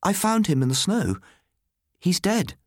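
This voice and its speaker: A man's voice: medium pitch, sing-song, normal speed, a little mellow, dry recording, clean studio sound, pitched above the performer's own voice. A man voicing an earnest, naive little boy who speaks with innocent plainness, in a storybook reading. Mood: distressed. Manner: matter-of-fact, innocent.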